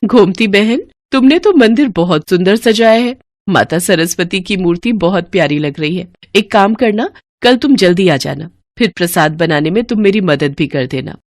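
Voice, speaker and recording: A woman's voice: lowish voice, lively intonation, normal speed. A woman playing a kindly, well-off mistress who talks politely to her servant in a cartoon story. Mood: pleased, instructing. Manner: polite, instructing.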